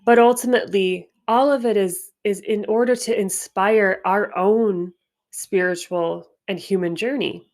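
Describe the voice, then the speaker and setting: Slow-spoken stuffy-nosed woman. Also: medium pitch, steady tone, a little mellow. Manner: unhurried, reflective, with frequent restarts. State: stuffy nose. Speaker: podcast host. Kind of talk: spiritual wellness monologue.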